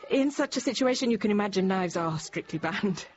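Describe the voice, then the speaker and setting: An actress in her thirties playing a frightened but composed French teacher held hostage, narrating quietly, often near a whisper. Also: medium pitch, animated, fairly quick, close to an everyday voice. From France. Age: 30s. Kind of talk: first-person dramatic narration. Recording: dull noisy radio drama recording.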